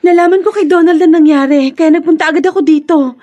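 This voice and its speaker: An actress playing a concerned, anxious young friend in a Filipino radio drama. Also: female voice, very high voice, fast talker, warm tone. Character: concerned young woman, close family friend.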